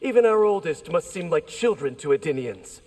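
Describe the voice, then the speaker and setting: A man's voice: on the high side, sing-song, moderate pace, darkish tone. A man playing an earnest young ninja in a video game, polite, sincere and respectful. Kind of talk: dramatic video game acting. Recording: long reverb, faint background noise.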